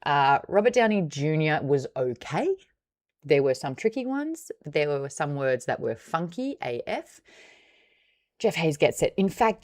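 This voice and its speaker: A woman with an Australian accent hosting a casual book club livestream, teasing and sarcastic as she chats with viewers. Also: low-pitched.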